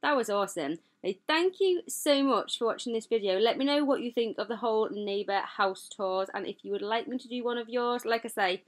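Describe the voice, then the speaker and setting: A woman's voice: a little high, a little fast, few pauses. A woman hosting a gaming channel, chatting casually and gushing over a building as she tours it, rambling with self-deprecating asides. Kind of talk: casual game tour commentary. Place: voice-over over gameplay footage.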